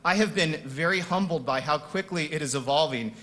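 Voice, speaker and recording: A man's voice: on the high side, fairly quick, fairly bright tone. A man giving a semi-improvised business conference presentation as a speaker, stressing key points emphatically by repeating words. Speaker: conference speaker, marketing executive.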